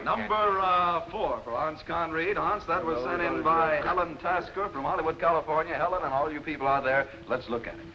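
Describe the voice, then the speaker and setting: A man's voice: a little high, brisk, few pauses, crowd noise. A man hosting a game show with casual, teasing banter and joking asides. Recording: noisy muffled 1950s television soundtrack.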